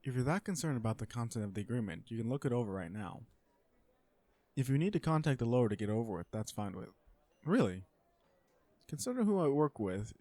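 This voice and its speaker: A mellow, relaxed male voice of middling pitch acted by a man. He is a villain out on a blind date, blunt and earnest yet socially awkward. He hesitates, then explains himself in deadpan, legal-minded fashion, as in a romantic comedy audio roleplay. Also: fairly quick, pauses often, dry recording, close to an everyday voice. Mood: earnest, businesslike.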